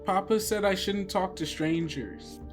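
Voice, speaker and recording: A man's voice: sits high, measured pace, faint music behind, pitched above the performer's own voice. A man voicing an innocent, sheltered and eerie young girl in a tabletop roleplay game. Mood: innocent, curious.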